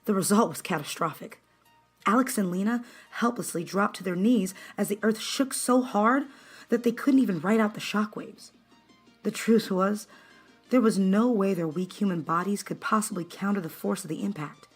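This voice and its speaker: A woman's voice: medium pitch, normal speed, husky. A woman reading scripted fan fiction as a dramatic narrator. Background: background music.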